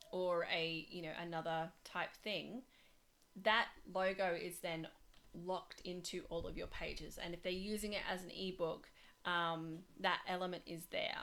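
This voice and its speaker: A woman teaching design software in a casual, rambling way, full of fillers and restarts, her voice going hoarse. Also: fairly low pitch. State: losing her voice. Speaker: design tutor. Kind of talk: casual screen-share tutorial.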